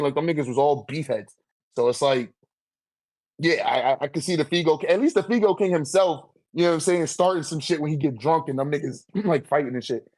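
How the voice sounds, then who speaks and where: Male, slightly raised pitch. Informal fan debater, heavy slang and swearing, restates points to press them. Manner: slangy, profane, repeats himself for emphasis. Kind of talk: casual chat, fan debate.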